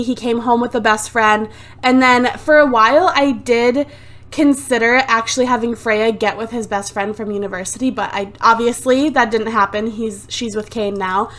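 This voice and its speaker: A fairly high-voiced woman talking through a life-sim game in a loose, chatty way, full of "um" and "like". She has a sore throat and sounds slightly phlegmy. She is recorded close on a desktop USB mic. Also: a bit bright. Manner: chatty and rambling, lots of "like" and "um". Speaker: let's play host. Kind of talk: casual gameplay commentary. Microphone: desktop USB microphone.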